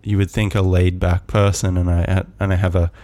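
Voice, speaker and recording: A man hosting a podcast, musing on his own in a calm, soft voice, rambling with many fillers and restarts. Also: low voice, moderate pace. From Australia. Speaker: podcast host. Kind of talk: casual solo musing.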